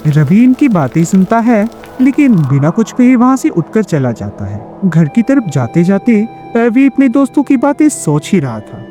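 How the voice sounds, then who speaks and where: A man's voice: sits high, big pitch swings, moderate pace, hardly any pauses, warm tone, faint music behind. A man narrating a scripted moral tale as a storyteller.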